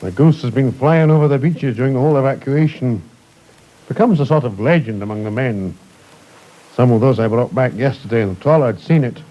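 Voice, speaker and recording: A respectful ship's mate, played by a man, talks plainly at a middling pitch. The 1950s radio drama recording sounds dull and muffled. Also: a little fast, lightly acted. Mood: matter-of-fact. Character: deferential, plain-spoken male ship's mate.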